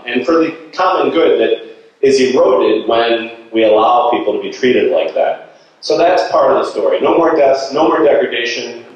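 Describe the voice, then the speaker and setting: A middle-aged man giving an informal slide presentation in an earnest, halting way, thinking aloud as he goes. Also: mid-range voice, dull audio. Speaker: guest speaker, nonprofit director. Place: indoor meeting hall. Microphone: handheld microphone through room speakers. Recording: very echoey room, distant sound.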